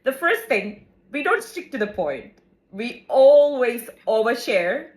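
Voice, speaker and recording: A woman's voice: fairly high pitch, big pitch swings, slow pace, poor audio. A woman co-hosting a casual podcast chat, talkative and filling her talk with "like". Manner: chatty, says "like" often, laughs easily. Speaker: podcast co-host.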